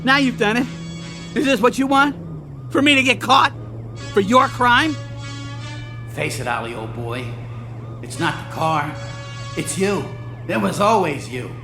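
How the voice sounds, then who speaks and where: An actor playing a nervous, guilt-ridden husband in a radio drama, speaking in an agitated, emphatic way. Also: male voice, sits high, a little slow, long pauses, over music. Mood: desperate, pleading, then scornful, accusing.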